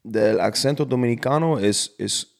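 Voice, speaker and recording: A man's voice: mid-range voice, moderate pace, gravelly. A man chatting casually in a loose, slangy way, switching into English and restarting his phrases. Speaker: conversation partner asking questions. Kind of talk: casual chat. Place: Miami.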